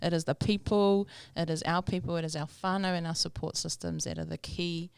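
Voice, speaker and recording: A woman's voice: lowish voice, fairly even intonation, brisk, creaky, clear, resonant tone, dry recording. A woman with a New Zealand accent hosting a podcast in an informal way, mixing Māori words into her English. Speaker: podcast host. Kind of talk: casual interview hosting.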